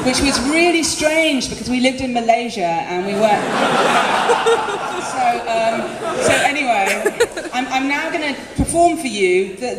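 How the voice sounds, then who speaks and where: A woman performing spoken word live, chatty and self-deprecating, often laughing between lines. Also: a little high. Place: live concert venue. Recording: echoey hall with faint room noise.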